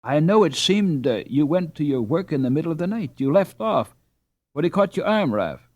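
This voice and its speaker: A man acts out an anxious, plain-spoken Dutch housewife from a storybook. The voice is pitched up and very lively in its swings, fussing and soothing in folksy old phrases. The sound is close and dry. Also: normal speed, dry recording. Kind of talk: audiobook character dialogue.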